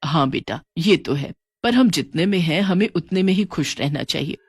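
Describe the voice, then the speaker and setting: A man's voice: on the high side, lively intonation, normal speed, husky, no room sound, clean studio sound. A man voicing a cautious, worried mother in a storybook, speaking in a moralizing way. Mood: cautioning. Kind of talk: Hindi storybook acting.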